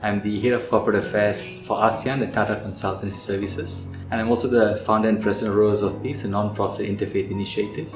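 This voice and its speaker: Measured low-voiced man in his thirties. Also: steady tone. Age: 30s. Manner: measured, composed, with some fillers. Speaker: corporate affairs head and nonprofit founder. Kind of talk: articulate interview reflection. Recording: roomy sound with background music.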